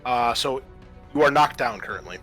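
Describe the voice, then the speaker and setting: A man acting as game master, narrating and refereeing a tabletop roleplaying game in an informal, halting way. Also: medium pitch, fairly bright tone, soft background music.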